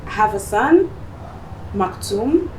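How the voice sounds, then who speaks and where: A woman's voice: medium pitch, big pitch swings, takes it slowly, darkish tone. A woman with an East African accent, from Rwanda and Uganda, answering conversationally as an interview guest. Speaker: interview guest, beauty business owner. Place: cosmetics shop, indoors. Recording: echoey room, distant mic, noisy ambience.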